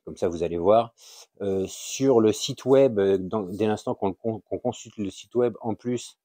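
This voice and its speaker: A man giving an informal, unscripted explainer as a video host, halting and often searching for words. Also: on the low side, warm tone, dry recording, clean studio sound. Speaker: YouTube channel host sharing a tip.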